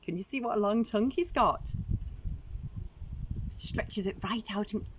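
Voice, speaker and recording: A woman's voice: mid-range voice, a little slow, background noise. A woman reading a story aloud to young children expressively, adding questioning asides for the listeners.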